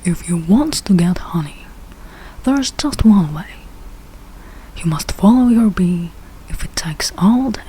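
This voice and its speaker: Slow, mellow woman reading a children's storybook. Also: on the low side, lively intonation, a little hiss or hum, poor audio. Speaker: read-aloud reader learning English. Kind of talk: slow read-aloud of a rhyming children's storybook.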